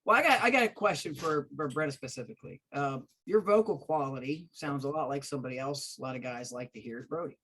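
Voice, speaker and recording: Fast-talking man with stumbling restarts. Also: fairly high pitch, pushed and bright, husky. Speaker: podcast co-host. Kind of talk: casual interview questions.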